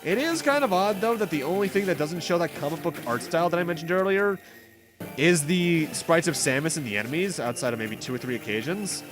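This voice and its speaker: A man's voice: a little high, expressive, a little fast. Guy chatting through a video game, voice bounces around a lot, kinda wry, game music behind him. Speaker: gaming commentator, host. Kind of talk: casual let's play commentary.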